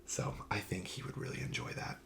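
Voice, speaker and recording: A man speaking softly in a breathy half-whisper, playing a gentle, polite shop clerk in an ASMR roleplay. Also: fairly quick, slight background noise. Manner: gentle, polite, attentive salesman.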